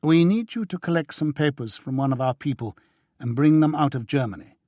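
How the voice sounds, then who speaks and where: A man's voice: fairly high pitch, fairly quick, dark tone, dry recording, voice pushed up, close to an everyday voice. A man reading a stern, curt, businesslike woman who serves as a secret contact in an audiobook.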